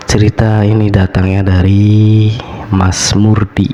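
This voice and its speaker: A man with a Javanese accent hosting and telling horror stories radio-style, his voice slightly hoarse from a cold. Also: lowish voice, level tone, takes it slowly, highs cut off, loud music behind the voice. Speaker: host of a horror story show.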